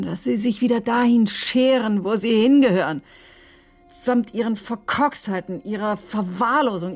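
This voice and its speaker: Sardonic woman doctor, medium pitch. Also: gravelly, a little mellow, slightly rough, heavily acted. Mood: bitter, defensive. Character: bitter, sharp-tongued woman doctor recounting her ordeal. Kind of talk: radio drama acting, confessional narration and dialogue.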